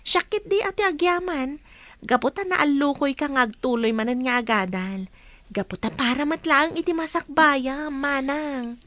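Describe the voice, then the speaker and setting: A woman's voice: high voice, normal speed, fairly bright tone, dry recording. A woman with a Filipino accent playing a cheerful, dutiful teenage schoolgirl in a radio drama. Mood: warm, encouraging. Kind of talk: Ilocano radio drama acting.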